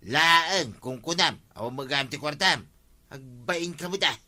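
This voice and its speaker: A man's voice: on the high side, a little slow, heavily acted. A man with an Ilocano accent playing a nagging, fed-up village husband in a comedy drama. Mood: scolding. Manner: scolding, forceful.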